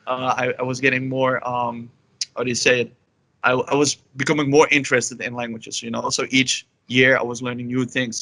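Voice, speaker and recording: A man's voice: mid-range voice, sharp tone, dry recording, low-quality recording. A young Dutch man with a Dutch accent, answering interview questions in a rambling, casual way, full of "you know" and "like". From Netherlands. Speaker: guest, language learner.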